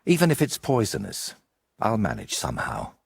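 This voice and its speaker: Fussy secretive medieval painter, polite, earnest, lowish raspy voice, lively pitch. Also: male voice, normal speed, exaggerated voice. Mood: reassuring. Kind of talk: dramatic game dialogue acting.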